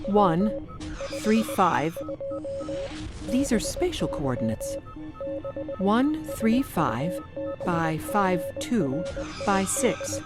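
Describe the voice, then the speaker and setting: A woman's voice: mid-range voice, sing-song, extremely slow, long pauses, loud music behind the voice. A woman playing a cool, logical starship science officer, precise and formal, in an audio drama. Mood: precise, reporting.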